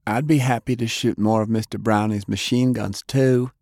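Man playing brash young girl, raised pitch, eager, exclaiming, audiobook character. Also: steady tone, normal speed, gravelly, darkish tone, no room sound, clean studio sound. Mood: excited.

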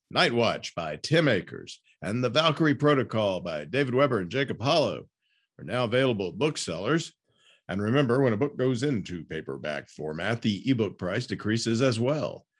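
A man working as a podcast host, reading announcements and hosting an author interview. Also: lowish voice.

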